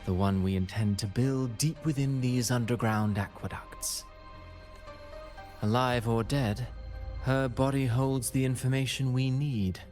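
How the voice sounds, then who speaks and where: A man playing a calculating, courtly villain in a video game, with soothing, measured and eloquent delivery. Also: medium pitch, steady tone, darkish tone, natural voice. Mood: calm, scheming. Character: suave, calculating male villain with theatrical, courtly speech. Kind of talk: scripted video game acting. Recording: music playing behind the dialogue.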